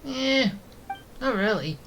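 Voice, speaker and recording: Casual male streamer: offhand gameplay reactions, slow pace, blunt phrasing, noisy recording with clicking. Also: very high voice, lively intonation. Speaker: let's play streamer.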